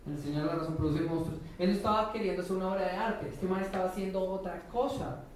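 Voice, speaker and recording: A man's voice: high-pitched, quick pace, darkish tone. A Colombian man giving an informal lecture as a professor, speaking in a colloquial, slangy, irreverent way and often checking in with short tag questions. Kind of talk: informal conference talk. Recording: echoey room, distant mic, faint noise.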